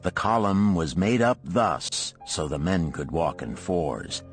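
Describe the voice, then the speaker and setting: A man playing a dignified old merchant who tells his past in measured, formal, archaic speech, in an audiobook. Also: low voice, expressive, faint music behind. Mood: measured recollection.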